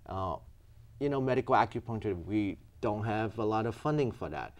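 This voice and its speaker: A man from Vietnam with a fairly low voice. He speaks earnestly and patiently, explaining his medical work point by point. His English has grammar. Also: expressive, normal speed. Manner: earnest, explaining step by step, non-native grammar. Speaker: physician guest. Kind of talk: explanatory interview answers.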